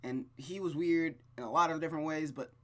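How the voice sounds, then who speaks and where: A gaming host reading a visual novel aloud with casual, rambling, expressive commentary. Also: medium pitch, brisk. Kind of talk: casual game commentary and read-aloud narration.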